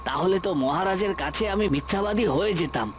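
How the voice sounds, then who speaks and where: A scheming fairy-tale villain acted by a man with a slightly high male voice. He coaxes and presses insistently, hungry for a throne. Soft music plays behind him. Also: expressive, fast talker. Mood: smug. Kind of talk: dubbed cartoon fairy-tale acting.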